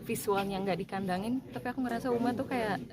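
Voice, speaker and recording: A woman, an actress, talking casually with the press, relaxed and chatty with many small fillers. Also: fairly high pitch, quick pace. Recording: loud crowd noise behind.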